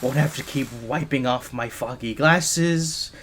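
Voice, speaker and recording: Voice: medium pitch, measured pace, fairly bright tone. A performer voicing a nervous, flustered young gadget engineer in an ASMR roleplay, stammering shyly and breaking off mid-phrase. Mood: flustered, embarrassed.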